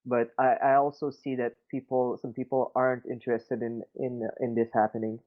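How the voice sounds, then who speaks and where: A man's voice: medium pitch, level tone, moderate pace. A man from Quebec City whose first language is French, speaking English with a Québécois accent in a casual, hesitant discussion full of fillers and restarts. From Quebec City, Canada. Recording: tinny voice chat line.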